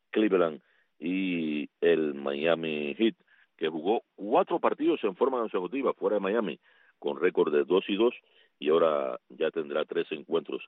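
A man reading sports results on the radio in a lively, conversational way. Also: sits low, no room sound. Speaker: sports reporter. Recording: dry, tinny phone-like line.

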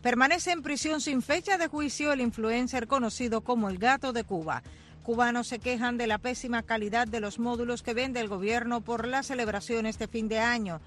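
A radio news anchor reading the headlines and sign-off in a formal, even tone. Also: mid-range voice, moderate pace, soft background music. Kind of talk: radio newscast.

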